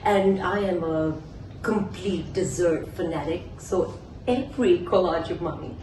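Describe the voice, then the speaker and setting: A woman's voice: on the low side, measured pace, a little mellow, a little hiss or hum. Chatty woman in an echoey room. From Singapore. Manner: chatty and enthusiastic, stacking long lists. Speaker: guest, collage artist. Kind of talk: casual interview answers. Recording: echoey room, voice from a distance, faint ambience.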